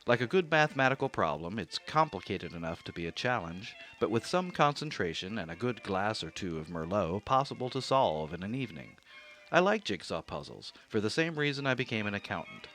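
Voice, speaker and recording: A rigid, exacting accountant, voiced by a man narrates in a lowish voice that still rises and falls a great deal. His delivery is measured and dry, every detail set out in order, with soft music underneath. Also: sing-song. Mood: precise. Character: fussy, precise middle-aged male accountant, rigid and easily rattled. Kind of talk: radio drama narration.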